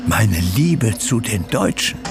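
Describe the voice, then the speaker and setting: An actor in a radio play as a weary, self-absorbed middle-aged revolutionary, muttering haltingly and drifting into whispers. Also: male voice, on the low side, very animated. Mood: obsessive rapture. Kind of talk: radio play acting, interior monologue. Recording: loud music under the voice.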